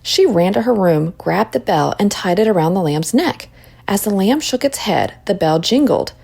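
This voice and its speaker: Woman reading a kids book out loud, very up and down, bit husky with some creak. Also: mid-range voice, lively intonation, normal speed. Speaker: storyteller reading a children's book aloud. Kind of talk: expressive scripted storybook narration.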